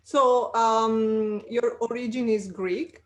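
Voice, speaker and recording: Bright-voiced woman podcast host. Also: a little high, steady tone, slow, slight background noise. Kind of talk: scripted intro then interview questions.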